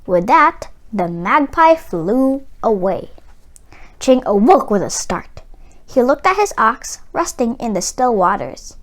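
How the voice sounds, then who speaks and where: High-pitched, slow woman storyteller. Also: very animated. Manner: careful, storytelling delivery. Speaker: children's story reader. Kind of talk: expressive scripted storybook narration.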